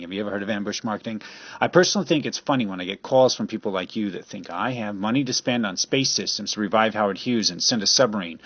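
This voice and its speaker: A man's voice: lowish voice, fairly bright tone, no echo, muffled recording. A man acting as a brusque, dismissive wealthy businessman in an amateur radio drama, lecturing with sarcasm.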